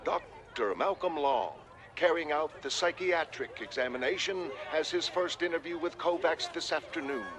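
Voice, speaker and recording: A male actor playing an earnest television newsreader, reading a bulletin in a formal, measured, authoritative way. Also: a little high, lively intonation, a crowd in the background, lightly acted. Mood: formal, serious. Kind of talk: scripted news bulletin delivery. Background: loud music.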